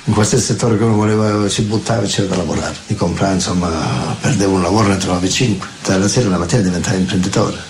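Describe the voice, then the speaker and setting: Older man rambling quickly over a noisy recording. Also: sits low. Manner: rambling, listing places and steps. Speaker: interviewee. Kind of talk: recounting his past.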